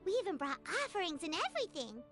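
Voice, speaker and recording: A woman's voice: high voice, big pitch swings, rapid-fire, music in the background. A woman voicing a tiny, chirpy, talkative childlike fairy companion in a video game, speaking of herself in the third person. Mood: chatty, reflective.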